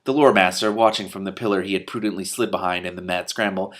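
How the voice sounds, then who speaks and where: A man reading a comic fantasy novel aloud in a lowish voice. He reads expressively, swinging between quiet and loud, and stops now and then for amused asides. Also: fairly even intonation, fast. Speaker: reader and commentator.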